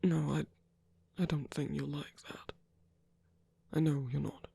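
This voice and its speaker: Someone acting a tired tender villain boyfriend type for asmr, super gravelly n breathy, kinda halting, close dry sound. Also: mid-range voice, level tone, no room sound. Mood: vulnerable, guarded, then tender, yielding. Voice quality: breathy, husky, often whispery. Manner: hesitant, halting, gently wry. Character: villain lover, soft-spoken, weary, tender, chronically in pain. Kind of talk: romantic ASMR roleplay audio drama.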